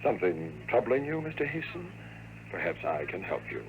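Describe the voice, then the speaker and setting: An actor playing a scheming, power-hungry time traveler in 1950s radio drama, speaking with precise, menacing politeness. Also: male voice, sits low, very animated, normal speed. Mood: smoothly formal. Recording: noisy old radio drama recording.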